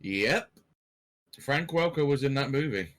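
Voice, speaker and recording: A man's voice: medium pitch, animated, measured pace, a bit bright. A man chatting casually about films as a guest on a podcast. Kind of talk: casual film podcast chat.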